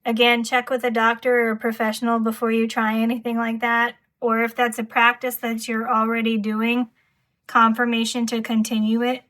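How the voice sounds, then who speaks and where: A woman giving an intuitive tarot reading, calm and soft-spoken, her voice slightly hoarse from talking. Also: on the high side, moderate pace, rough recording. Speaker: tarot reader.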